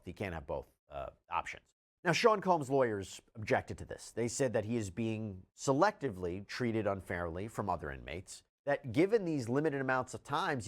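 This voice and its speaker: A man presenting legal news commentary as a show host, conversational and emphatic, speaking straight to the viewer. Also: fairly low pitch.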